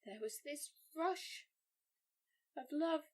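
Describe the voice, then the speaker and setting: An actress playing a grieving new mother in an audio drama monologue, halting and emotionally charged as she recounts her labour. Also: high-pitched, animated. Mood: hushed awe. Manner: halting, breathy, emotionally charged delivery in short phrases.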